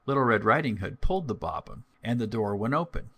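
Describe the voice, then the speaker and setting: A man's voice: lowish voice, sing-song, fairly quick, gravelly, sharp tone, slightly rough. A man narrating a scripted fairy tale as a storyteller.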